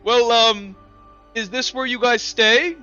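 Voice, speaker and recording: A man's voice: very high-pitched, steady tone, takes it slowly, pushed and bright, clear, resonant tone, highs cut off, soft background music, pitched above the performer's own voice, heavily acted. Man playing a big, friendly, earnest guard. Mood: amiable. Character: huge amiable jacked male guard, earnest and literal-minded.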